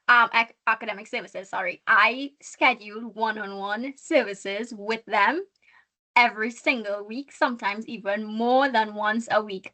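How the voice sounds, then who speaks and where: Trinidadian young woman, bright sharp voice, earnest, restarts her sentences a lot. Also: a little high. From Trinidad and Tobago. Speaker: guest valedictorian student. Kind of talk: online workshop interview.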